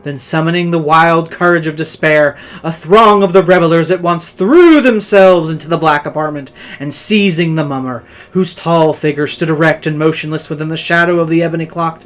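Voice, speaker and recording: A man narrating Gothic horror in a theatrical, dramatic way, with measured phrases that swell and drop in volume. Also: on the high side, a bit bright. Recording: music under the voice.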